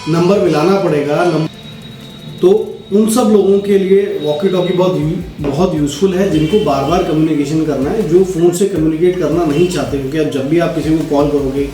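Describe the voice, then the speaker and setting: A man with a soft, mellow tone explains a product step by step. He talks casually and instructively, straight to the viewer. The room is noticeably echoey, with faint music underneath. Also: on the high side, steady tone, moderate pace. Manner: instructional, addresses viewers directly with frequent check-in tags. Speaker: product demonstrator. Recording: roomy echo, faint music.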